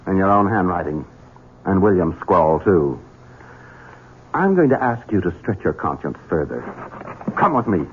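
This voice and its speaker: An actor playing a scheming, coldly coercive grown son and heir in an old-time radio mystery. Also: male voice, sits low, very animated, unhurried, pauses often, slight background noise. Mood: cold, insistent. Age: 30s. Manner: smooth, coldly coercive, insinuating. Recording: tinny, muffled vintage radio recording.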